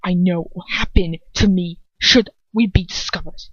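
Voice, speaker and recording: A woman's voice: on the low side, moderate pace, a bit bright, breathy edge, dry recording, lo-fi sound. A woman voicing a cold, arrogant, menacing wizard father in a story reading, with a controlled, venomous mutter.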